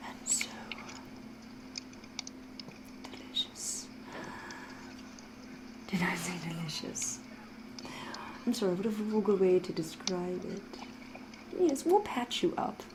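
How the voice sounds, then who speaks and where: A woman voicing a flirtatious, menacing vampire in an ASMR roleplay, refined and theatrical, with drawn-out phrases slipping into breathy whispers. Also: mid-range voice, noisy background. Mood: hungry, seductive. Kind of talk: ASMR roleplay, scripted character monologue.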